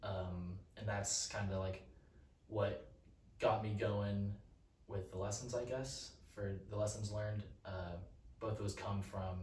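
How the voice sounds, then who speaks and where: A twenty-one-year-old man answering interview questions hesitantly, with many pauses and restarts. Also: on the low side, fairly even intonation, darkish tone. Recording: roomy, distant mic, faint noise.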